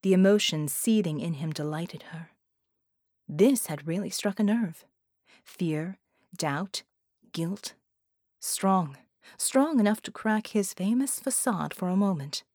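A woman's voice: on the low side, lively intonation, slow pace, pauses often, darkish tone, no echo. A woman reading scripted fiction narration as an audiobook narrator, recorded close.

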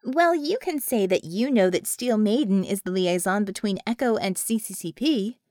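A woman's voice: medium pitch, lively intonation, moderate pace, a little mellow, dry recording, lightly acted. A woman voicing a female leader of heroes in an audiobook, authoritative and dryly humoured. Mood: businesslike. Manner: dry, authoritative.

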